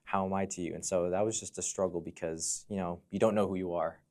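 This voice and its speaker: American male podcast guest, very low register, thoughtful and explanatory, conversational storyteller. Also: steady tone, quick pace, a little mellow. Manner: reflective, explanatory, often says "you know". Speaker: podcast guest, fire dancer and entrepreneur.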